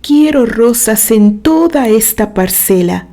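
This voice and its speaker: A woman's voice: fairly high pitch, animated, slow pace, warm tone. A woman voicing an eager, devoted young mother and lady of the house in a narrated story, exclaiming emphatically. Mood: eager, joyful.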